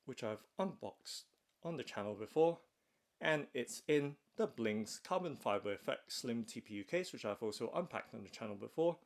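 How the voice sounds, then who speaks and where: A man with a mid-pitched voice narrates a hands-on product demonstration. He goes step by step in a measured, matter-of-fact way, like a tech unboxing presenter. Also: animated, vocal fry.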